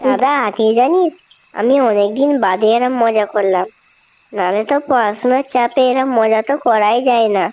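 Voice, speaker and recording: A woman's voice: on the high side, normal speed, a little mellow, lightly acted. A woman playing a talkative, earnest younger sibling in an amateur horror cartoon. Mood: cheerful. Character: chatty, worried younger sibling lost after a village fair. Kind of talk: amateur animated horror story dialogue. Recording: music behind.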